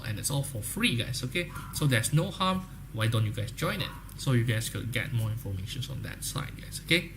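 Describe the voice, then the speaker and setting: A young man with an Indonesian accent talks to viewers in a loose, promotional way, mid-pitched voice. He keeps looping back to "guys, okay?" as he goes. A faint hiss sits under the voice. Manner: repeats "guys, okay?" often, upbeat salesy talk. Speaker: trading livestream host. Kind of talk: casual livestream chat with viewers.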